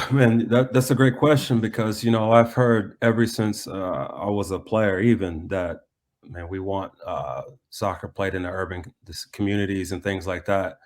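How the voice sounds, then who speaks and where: An American man talking reflectively in a conversational interview, often pausing mid-thought to restate himself. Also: deep voice, steady tone. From United States. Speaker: soccer coach being interviewed.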